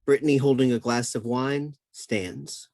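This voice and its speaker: A man's voice: medium pitch, steady tone, unhurried, darkish tone, no room sound. Man reading stage directions, dry sound.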